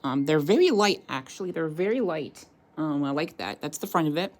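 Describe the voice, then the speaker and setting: A woman's voice: low voice, sing-song, a little fast, a little mellow. A woman giving a casual tech review as a vlog host, talking in a hesitant, rambling way. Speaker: product reviewer and channel host.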